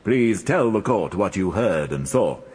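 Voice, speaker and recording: An actor playing a formal, probing, faintly condescending British barrister questioning a witness in a radio courtroom drama. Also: male voice, low-pitched, big pitch swings, measured pace, darkish tone, slightly rough, full character voice. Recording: reverberant courtroom-like hall.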